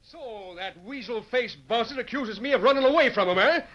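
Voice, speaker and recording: An actor playing a boastful, scornful cowboy with theatrical frontier bluster, on a dull old radio recording. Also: male voice, very high voice, fairly quick, fairly bright tone. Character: boastful, scornful cowboy promising to shoot his rival. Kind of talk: old-time radio western acting.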